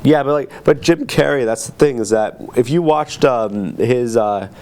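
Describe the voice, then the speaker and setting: A man's voice: mid-range voice, unhurried, darkish tone. A man co-hosting a podcast in casual pop-culture chat, circling back over his phrases as he makes a point. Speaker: podcast co-host.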